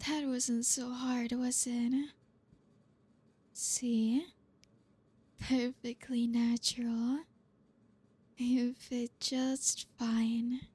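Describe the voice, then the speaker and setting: Woman playing a slow, breathy, flirty lady boss. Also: on the high side, flat delivery, full character voice. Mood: teasing, soothing. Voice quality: soft, breathy, often whispering. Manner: slow, teasing, deliberate. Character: flirty, dominant female boss, teasing and commanding. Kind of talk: ASMR roleplay.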